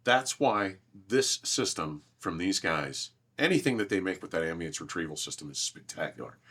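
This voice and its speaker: A man's voice: deep voice, expressive, moderate pace, fairly bright tone. A man in casual, enthusiastic conversation as an audio reviewer hosting a show, speaking emphatically and with strong opinions. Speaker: host, audio equipment reviewer. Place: large, minimally treated listening room.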